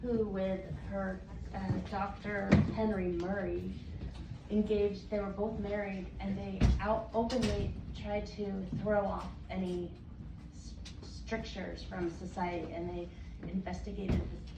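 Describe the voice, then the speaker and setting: A woman in the audience tells a story in a relaxed, conversational way. She sounds far from the mic in an echoing room. Background noise is clearly audible. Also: mid-range voice, unhurried. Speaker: audience member. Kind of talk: commenting at a talkback. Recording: echoey, distant, noisy room recording.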